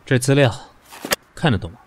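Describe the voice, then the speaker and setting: A man's voice: mid-range voice, expressive, fairly quick, a little hiss or hum, natural voice. An actor playing a composed, sardonic, stern professional man in an audio drama, dry and commanding. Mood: stern, weary. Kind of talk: Chinese audio drama acting.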